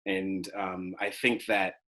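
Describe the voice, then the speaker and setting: A man's voice: low-pitched, little pitch movement, takes it slowly, fairly bright tone. A young man, an acting student, giving reflective answers in an interview. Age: young adult.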